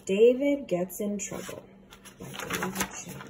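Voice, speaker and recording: A young woman teacher reading a storybook aloud to children, with playful, expressive comments. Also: mid-range voice, a little slow, vocal fry, slight background noise. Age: young adult.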